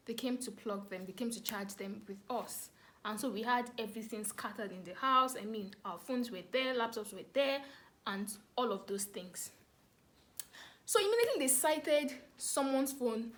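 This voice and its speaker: A woman with a Nigerian accent telling a story casually to camera, animated and dramatic, repeating words for emphasis. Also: a little high. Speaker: vlogger telling a family story.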